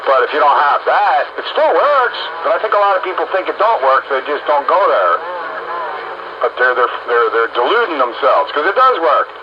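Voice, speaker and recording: A man's voice: on the high side, sing-song, moderate pace. A man chatting casually over amateur radio in a folksy, rambling, colloquial way, heard through a radio transmission. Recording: muffled narrow-band radio, clear static noise.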